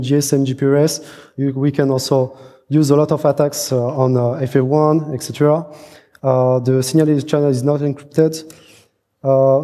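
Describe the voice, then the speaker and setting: A very dark, muted male voice rings through a big, reverberant hall. He is a non-native English speaker presenting technical research, and he moves haltingly, doubling back on phrases as he goes. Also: mid-range voice. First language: not a native English speaker. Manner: halting, repeats and restarts phrases mid-sentence. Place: large conference hall. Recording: echoey hall, long reverb, muffled.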